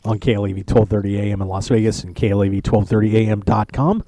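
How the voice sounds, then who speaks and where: A man's voice: lowish voice, steady tone, quick pace, background noise. A man hosting a poker radio show, chatting casually and joking from a lounge at a poker tournament. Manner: chatty, joking, rambling with restarts. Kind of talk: casual live-stream chat.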